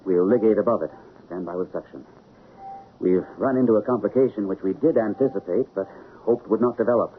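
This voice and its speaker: Man playing a low-voiced, composed radio-drama surgeon. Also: close to an everyday voice. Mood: tense, decisive. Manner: clipped commands, measured formal explanations. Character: composed, authoritative male chief surgeon lecturing while operating. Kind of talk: old-time radio drama acting. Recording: narrow, noisy old radio recording.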